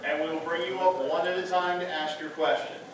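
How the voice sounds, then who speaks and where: A man's voice: high-pitched, flat delivery, fairly quick, a crowd in the background. A man moderating a convention panel in a formal, announcer-like way, welcoming the guest and giving the audience instructions. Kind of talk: announcer-style convention hosting. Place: large convention panel room. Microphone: PA mic heard from a far camera. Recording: echoey far-off hall with room noise.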